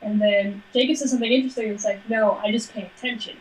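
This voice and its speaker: A woman's voice: fairly high pitch, fairly even intonation, fast talker, low-quality recording. A woman co-hosting a podcast, discussing a book casually in a playful, sarcastic, slangy way. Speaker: podcast co-host.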